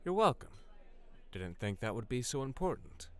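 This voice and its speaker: A man's voice: sing-song, normal speed, fairly bright tone. A man playing a wry, century-old male vampire who talks conversationally in an audio drama. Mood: calm. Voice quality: low-pitched.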